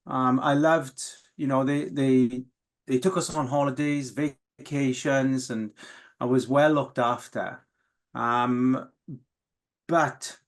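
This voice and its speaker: A man from the South Wales valleys, a Welsh speaker first, talking openly and reflectively as an interview guest, with many pauses and restarts. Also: mid-range voice, fairly bright tone. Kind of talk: candid, emotional interview.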